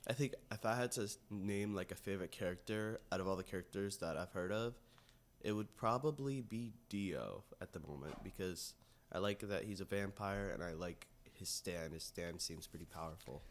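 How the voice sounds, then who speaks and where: Easygoing man with a deep voice. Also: normal speed. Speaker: podcast co-host, asking and reacting. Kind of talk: casual chat.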